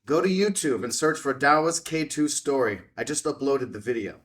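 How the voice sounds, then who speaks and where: A man playing a confrontational debunking journalist in a drama table read, pointed and deliberate in his delivery. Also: medium pitch, animated, a bit bright, close to an everyday voice. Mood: accusing, sardonic. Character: confrontational male debunking journalist, sardonic and relentless. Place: indoor room at a filmed table read.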